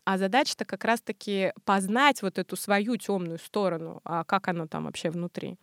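A woman speaking as an expert guest in a podcast interview, explaining in a colloquial, animated way. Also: medium pitch, moderate pace, no echo, studio quality.

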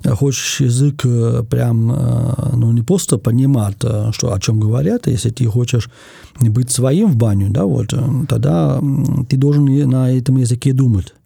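A man from Germany, a guest in an interview, speaking thoughtfully in casual talk and pausing often to find his words. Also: mid-range voice, gravelly, warm tone, slightly rough, dry recording, studio quality.

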